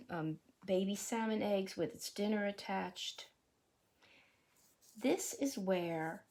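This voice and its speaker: A woman reading a rhyming picture book aloud as a teacher would, deliberately, with explanatory asides and questions for young listeners. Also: medium pitch.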